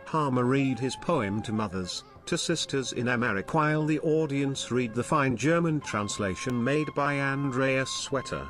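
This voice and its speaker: A man's voice: medium pitch, normal speed, few pauses, over music. A man narrating a scripted report on a festival. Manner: lively, reading a prepared report.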